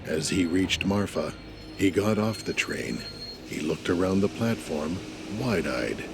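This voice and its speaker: Deep, gravelly male storyteller. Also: animated, measured pace, background noise. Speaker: narrator. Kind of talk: scripted audio drama narration.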